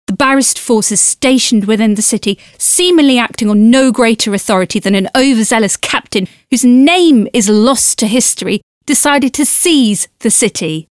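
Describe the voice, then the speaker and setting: An actress playing a composed historian who reads her chronicle in a deliberate, literate audio drama narration with dramatic pauses. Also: fairly high pitch, dry recording, studio quality, close to an everyday voice.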